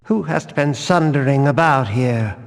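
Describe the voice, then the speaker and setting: An actor playing a suspicious temple guard in a fantasy game, probing in archaic phrases. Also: male voice, medium pitch, a little slow, natural voice. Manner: archaic, questioning speech. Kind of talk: scripted fantasy video game barks. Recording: long reverberant hall echo.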